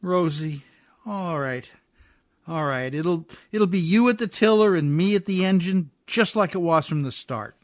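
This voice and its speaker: Male actor as riverboat skipper, radio drama, folksy, scrappy, lively swooping delivery, working-class plain talk. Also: high-pitched, a little slow. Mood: affectionate, determined. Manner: folksy, ungrammatical, plain-spoken. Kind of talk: radio play acting.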